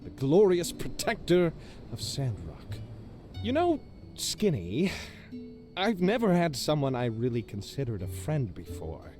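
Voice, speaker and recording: A grandiose, mock-heroic protector from a video game, boastful on top and insecure underneath. The voice is fairly high and swings widely in pitch. Phrases are slow and stretched out for emphasis, with faint music behind. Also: male voice, huge pitch swings, warm tone. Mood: solemn, confiding. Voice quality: theatrical, exaggerated delivery. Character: pompous, boastful self-styled protector hero, insecure underneath.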